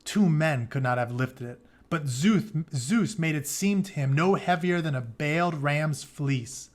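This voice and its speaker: A man's voice: a little high, measured pace. A man reading an epic story aloud as a teacher, dramatic in the text and breaking into casual, informal explanations. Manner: dramatic reading broken by plain informal paraphrase. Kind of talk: read-aloud of an epic retelling with casual asides.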